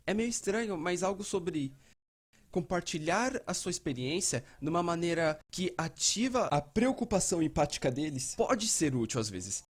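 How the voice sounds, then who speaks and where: A Brazilian man dubbing a mental health educator who explains things in a conversational, animated way. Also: fairly high pitch. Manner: animated, acting out example dialogue. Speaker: fan dubber of an educational video.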